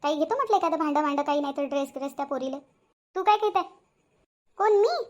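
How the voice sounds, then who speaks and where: A woman's voice: high voice, expressive, fast talker, darkish tone. A woman with a Varhadi accent from Vidarbha, acting out chatty village women's dialogue for a cartoon story in colloquial, exclamatory speech.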